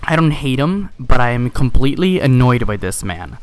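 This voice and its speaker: A man's voice: medium pitch, big pitch swings, normal speed, darkish tone, clean tone. A teenage male gamer telling a casual, rambling story in an exasperated, chuckling way. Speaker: video game YouTuber.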